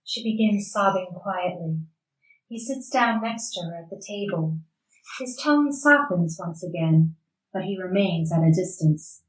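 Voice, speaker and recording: A woman's voice: fairly low pitch, lively intonation, a little slow. A woman reading scripted stage directions as the narrator of a play. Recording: echoey room, distant mic.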